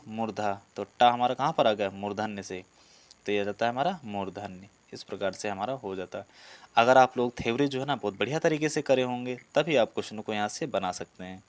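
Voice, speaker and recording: A man speaks as a teacher in a dry recording with little room sound. His voice sits at a medium pitch. He reads out questions, explains the answers and repeats key terms for his students. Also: clear, resonant tone, no echo. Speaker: Hindi grammar teacher for police exam students. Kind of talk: exam-prep lesson, reading questions and explaining answers.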